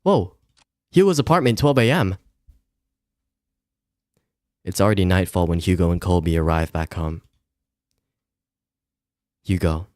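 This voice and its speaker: A man's voice: deep voice, darkish tone, dry recording, clean studio sound. A man commentating casually to himself as he streams a visual novel and reads game text aloud.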